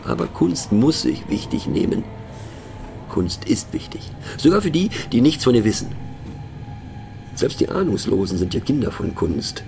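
A man's voice: mid-range voice, big pitch swings, moderate pace, warm tone, slight background noise. An actor playing a middle-aged male narrator in a radio play monologue, musing and mocking, thoughtful and hesitant, often turning to question the listener. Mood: wry, pondering. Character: musing, mocking male narrator, rambling to fellow train passengers.

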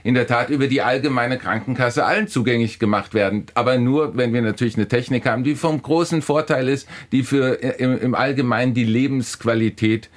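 A man's voice: mid-range voice, a little fast, few pauses. A man speaking as an academic guest in a discussion, explaining and arguing emphatically, often restarting and repeating phrases. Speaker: philosophy professor, guest.

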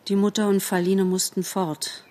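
A woman voicing a weak young male fawn in an audiobook, quiet, earnest and resigned, with grown-up seriousness. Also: lowish voice, fairly even intonation, a little slow. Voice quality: quiet, faint. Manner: grave, simple, grown-up seriousness. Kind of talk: audiobook character voice.

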